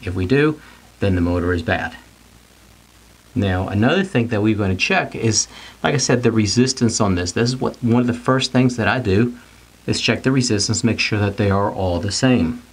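A man's voice: on the low side, moderate pace, slightly rough, rough recording. A middle-aged man giving an informal technical lesson, plain-spoken, repeating and rephrasing his points step by step. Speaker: technical instructor. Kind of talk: whiteboard lesson, informal explanation.

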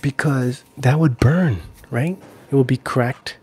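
A middle-aged man casually chatting with viewers on an ASMR live stream, drifting between soft murmured talk and whispers close to the mic. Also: medium pitch, takes it slowly, slightly rough. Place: small indoor gaming room. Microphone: handheld-style recorder mic close to the mouth.

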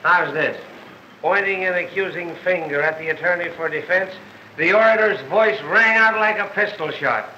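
A man playing a brash, flattering newspaper reporter in a wisecracking old film style. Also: high-pitched, moderate pace. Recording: dull, roomy old film soundtrack, faint noise.

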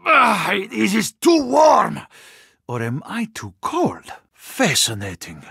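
Casting: huge courteous yeti super-agent, video game. Thick-textured gravelly voice, Russian accent, formal phrasing, very animated pitch. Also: male voice, slow, no room sound, studio quality, heavily acted. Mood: booming, shouting. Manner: formal, stilted phrasing with dropped articles. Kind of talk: video game character voice lines.

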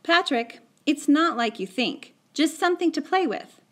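A woman's voice: sits high, expressive, normal speed, pitched above the performer's own voice. A woman voicing an anxious, earnest young boy in an audiobook, with an urgent, insistent manner. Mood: worried, protesting. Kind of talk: acted audiobook dialogue.

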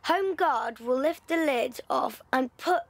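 A woman's voice: high voice, slow, vocal fry, no room sound, heavily acted. A woman playing an earnest, know-it-all wartime schoolboy in an audio drama. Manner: earnest, explaining.

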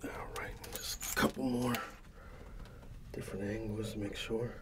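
A middle-aged American man speaking softly and often in a whisper, in a casual, avuncular ASMR roleplay as a barber. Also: fairly low pitch, level tone, very noisy. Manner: unhurried, casual, avuncular reassurance. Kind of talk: soft-spoken ASMR personal attention roleplay.

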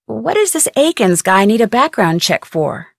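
A woman's voice: on the high side, sing-song, normal speed, creaky, dry recording, studio quality, full character voice. A woman voicing a plucky, inquisitive young amateur detective with an American accent in an adventure game, chipper and conversational. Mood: friendly, curious. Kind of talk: scripted adventure game dialogue.

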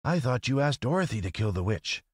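A man's voice: mid-range voice, sing-song, normal speed, husky, no room sound, clean studio sound, pitched above the performer's own voice. A man voicing a straw-stuffed scarecrow in a storybook, humble, brave and earnest. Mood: puzzled. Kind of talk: storybook character voice.

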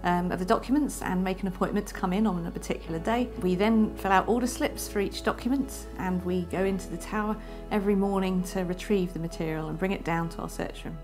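A woman's voice: medium pitch, quick pace, hardly any pauses. A woman archivist explaining her work in a matter-of-fact documentary interview. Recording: clear music underneath.

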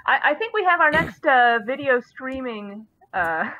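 Female stream host, higher-pitched and animated, chatting casually through a slightly dull call mic. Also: measured pace, dry recording. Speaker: host of a live group stream. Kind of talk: casual group voice chat. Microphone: computer mic in online group call. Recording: dull, lo-fi voice chat audio.

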